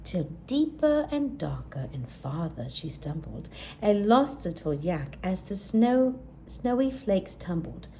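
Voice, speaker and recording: A woman reading a rhyming children's picture book aloud as expressive, scripted storytime narration. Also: on the low side, slow pace, a little hiss or hum. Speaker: storytime reader.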